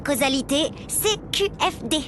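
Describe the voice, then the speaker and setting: A woman playing a talkative, ambitious sixteen-year-old schoolgirl in an animated series, speaking emphatically and colloquially. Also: sits high, moderate pace, background noise. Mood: earnest, insistent.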